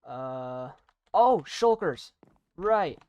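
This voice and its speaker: A young adult chatting casually while playing and commentating on a video game, rambling in a halting, self-deprecating way. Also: mid-range voice, expressive, darkish tone, smooth, dry recording. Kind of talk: casual gaming commentary and chat.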